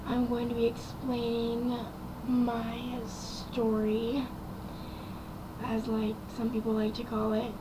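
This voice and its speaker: A young woman with a raspy, quiet voice, telling her personal story to camera in a rambling way with many ums and likes. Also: fairly high pitch, completely flat delivery, slow, background noise. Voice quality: raspy and quiet from vocal cord damage. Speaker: vlogger.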